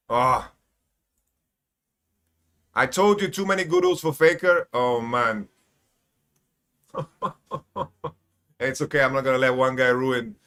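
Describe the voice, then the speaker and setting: A man commentating a live esports match on his own stream, excitable and swearing often, with faint hip hop music in the background. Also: mid-range voice. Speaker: esports costreamer. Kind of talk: live costream game commentary.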